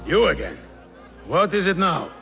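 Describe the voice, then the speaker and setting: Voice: mid-range voice, very animated, unhurried, vocal fry, a bit bright, faint music behind, exaggerated voice. An actor playing a curt, irritable gatekeeper, brusque in every phrase. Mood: annoyed. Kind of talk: game cutscene dialogue.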